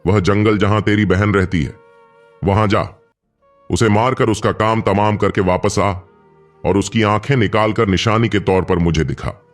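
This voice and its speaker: A man's voice: fairly low pitch, fairly even intonation, normal speed, lightly acted. A man voicing a cruel, hot-tempered merchant father in a storybook tale, with a commanding manner. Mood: ordering. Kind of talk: storybook narration. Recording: music bed behind the voice.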